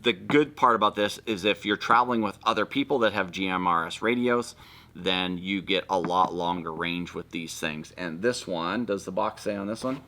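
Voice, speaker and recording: A man's voice: low voice, normal speed, pushed and bright, slight background noise. A man hosting a casual product walkthrough, explaining things informally and step by step. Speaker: video host.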